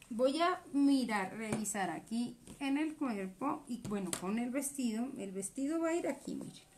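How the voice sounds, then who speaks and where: A woman's voice: on the high side, darkish tone. A woman, chatting through a live sewing class while she works at a table. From Colombia. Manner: chatty, explains while working with her hands. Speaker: sewing instructor. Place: indoor workroom at a cutting table.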